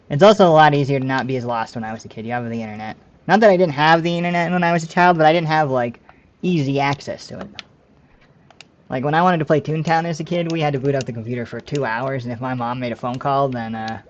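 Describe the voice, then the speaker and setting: A man in his late twenties talks with his stream viewers in a loose, rambling way, often stopping to correct himself. His voice sits at an ordinary middle pitch. The audio is slightly dull and lo-fi. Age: 20s. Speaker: game streamer. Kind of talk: casual live-stream chat with viewers.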